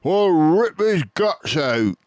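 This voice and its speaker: A man's voice: on the high side, very animated, takes it slowly, dry recording, voice pushed up. A man voicing a short, pot-bellied, bad-tempered farmer in a storybook, snappish and scornful. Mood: furious, scornful.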